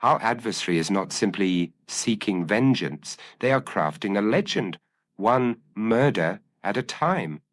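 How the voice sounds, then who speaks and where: A man voicing a shrewd, commanding Victorian detective in an audio storybook, speaking formally and deliberately. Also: fairly low pitch, animated, voice pushed up. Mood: intense, deductive.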